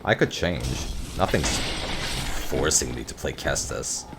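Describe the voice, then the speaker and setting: A young man with a deep voice, streaming a game and talking wryly into a close mic about his own failures. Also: expressive, a little slow, heavy background noise. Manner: self-deprecating, wry, muttering curses. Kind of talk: casual gameplay commentary. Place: home office. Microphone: close desk mic.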